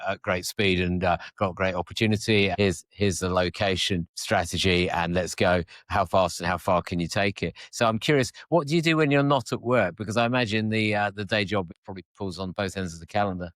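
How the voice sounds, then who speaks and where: A man's voice: deep voice, a bit bright, no room sound, clean studio sound. A man hosting a podcast interview in a friendly, enthusiastic way. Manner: friendly, enthusiastic, some restarts. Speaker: podcast host.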